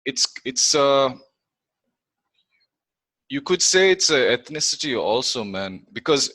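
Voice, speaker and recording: A young man from Sri Lanka, a hip hop artist talking casually as a guest, relaxed and peppering his talk with "bro". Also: mid-range voice, slow, no echo. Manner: relaxed, says "bro" and "you know". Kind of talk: casual conversation.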